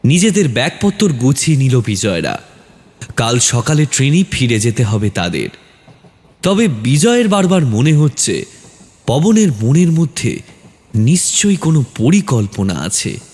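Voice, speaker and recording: A man's voice: medium pitch, very animated, a little slow, a little mellow. Dramatic male horror narrator. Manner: dramatic, suspenseful. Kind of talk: scripted horror story narration.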